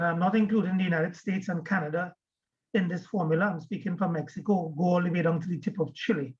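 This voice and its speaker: A man from Trinidad and Tobago with a Trinidadian accent, giving a webinar presentation as guest speaker, often repeating words as he starts a phrase. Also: sits high. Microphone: video call. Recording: dull lo-fi video call audio.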